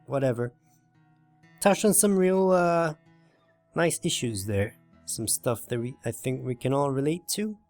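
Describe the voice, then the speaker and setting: A man's voice: medium pitch, lively intonation, measured pace, a little mellow. A man hosting a let's play, talking casually and informally in a rambling way, searching for words as he signs off. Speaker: let's play host reading a visual novel. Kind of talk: casual video commentary and sign-off. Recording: music behind the voice. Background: game music.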